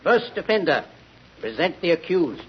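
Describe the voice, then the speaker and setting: The imperious head of a secret tribunal in an old radio play. His voice is high for a man, curt and ceremonious, on a dull recording. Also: sing-song, moderate pace. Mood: ceremonial. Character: stern, imperious male commander of a vigilante cult. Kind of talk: 1940s radio drama acting.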